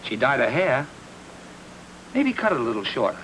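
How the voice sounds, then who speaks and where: A man's voice: medium pitch, sing-song, normal speed, a bit bright, low-quality recording. An actor playing a breezy, cagey ex-showman who runs a lunch counter in an old noir drama, full of slangy patter. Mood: offhand, guarded. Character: wisecracking ex-vaudeville male lunch counter proprietor, breezy and cagey. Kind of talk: 1940s film noir acting. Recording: old film soundtrack with faint noise.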